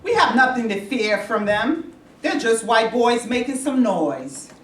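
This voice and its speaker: A woman's voice: fairly high pitch, expressive, measured pace. A woman playing a fiery protest activist eager to fight, in an urgent, challenging staged debate. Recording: echoey room, distant mic.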